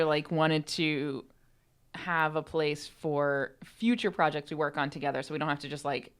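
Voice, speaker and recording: Woman with a low voice, chatty podcast cohost, playful dry humor. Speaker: podcast co-host and writer. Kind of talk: casual chat.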